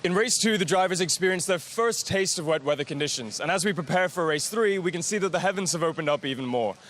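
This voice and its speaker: A man's voice: fairly high pitch, animated. A man narrating a motorsport series in a polished, scripted voice-over. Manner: measured, polished announcing. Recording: voice-over with loud music bed.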